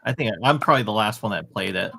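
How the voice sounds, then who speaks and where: A man's voice: lowish voice, fast, creaky, bright, forceful tone, breathy edge. A man in his forties chatting casually on a gaming podcast, easygoing and plainspoken. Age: 40s. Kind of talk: casual chat.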